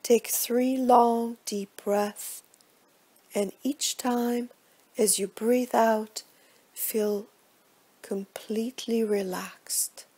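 Woman doing guided yoga nidra, slightly high soft breathy voice, really slow and hushed. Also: fairly even intonation. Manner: slow, measured, hushed delivery with soft breathy phrase endings. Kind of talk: guided relaxation meditation, scripted instruction.

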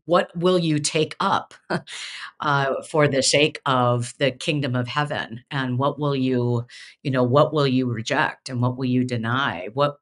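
A woman's voice: rumbling low, a little slow. A middle-aged woman, a seminary professor hosting a casual theological discussion, who talks emphatically and restarts her phrases often, on a video call from her home office. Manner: emphatic, animated, many restarts.

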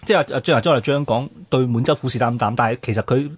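A man's voice: medium pitch, racing, breathy edge. A man with a Hong Kong Cantonese accent, co-hosting a radio history talk and explaining things informally with chatty asides. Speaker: radio co-host.

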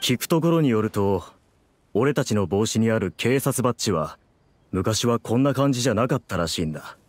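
A man's voice: lowish voice, lively intonation, brisk, dry recording. An actor playing a chatty, gossipy but loyal policeman in a Japanese video game, casual and conversational.

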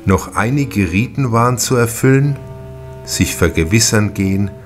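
A man narrates a crime novel in a low voice. His delivery is measured and deliberate, as scripted literary storytelling for an audiobook. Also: lively intonation, faint music behind.

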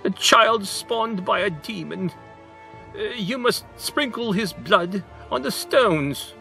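A man's voice: sits high, expressive, takes it slowly, creaky, sharp tone, faint music behind, voice pushed up, heavily acted. A man voicing a sly, nervous old magician in a storybook, hesitant and scheming. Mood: sly, ominous.

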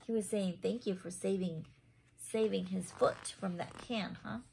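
A woman's voice: medium pitch, steady tone, a little slow, gravelly, darkish tone, husky, low-quality recording. A woman in her thirties reading a picture book aloud to children, adding simple questioning asides. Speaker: children's story time reader. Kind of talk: expressive picture-book read-aloud with asides to young listeners. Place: small home room, indoors.

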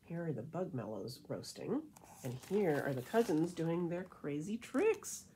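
Expressive, unhurried woman reading a picture book. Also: deep voice, a little hiss or hum. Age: middle-aged. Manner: deliberate, animated rhythmic storytelling delivery. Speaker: librarian reading aloud to children. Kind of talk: expressive picture-book read-aloud with casual asides. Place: indoor room with painted wall.

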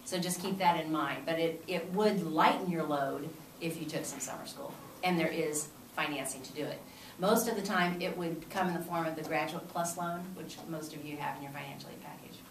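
A middle-aged woman with a low, steady voice explains financial aid at a lecture-room podium. She talks in a measured way, pausing on fillers. The sound is roomy and distant, taken from a camera at the back with faint room noise. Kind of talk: informational presentation. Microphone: far camera.

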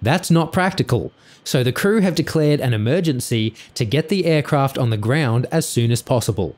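A man's voice: mid-range voice, animated, normal speed, darkish tone, slight background noise. A man reading scripted explanatory narration for an aviation incident video.

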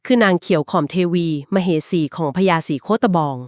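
A woman co-hosting a podcast, retelling an old legend conversationally and explaining it at length, with polite particles and short filler pauses. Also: on the low side, expressive, darkish tone, dry recording, clean studio sound. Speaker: podcast co-host. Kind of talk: conversational storytelling of a folk legend.

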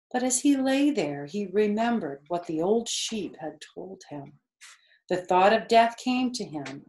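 A woman in her fifties reading a children's story aloud, measured and explanatory. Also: fairly low pitch, a little mellow. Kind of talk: read-aloud storybook narration. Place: living room sofa, indoors. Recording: roomy, echoey indoor sound.

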